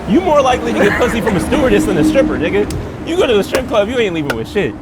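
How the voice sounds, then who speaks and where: A man's voice: sits high, big pitch swings, racing, a loud crowd, heavy background noise. A male comedian hosting a casual street chat, trading crude, slang-heavy jokes. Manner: crude joking banter with slang. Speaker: comedian host. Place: outdoors on a city street.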